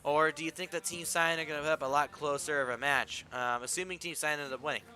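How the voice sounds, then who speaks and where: A young man casting an esports match and chatting with his stream chat, his voice a bit raspy from losing it. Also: fairly high pitch, fairly even intonation, fast, sharp tone. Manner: rambling, chatty, talks to chat. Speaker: esports caster and stream host. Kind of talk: casual play-by-play commentary with chat. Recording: music playing behind. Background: stream music.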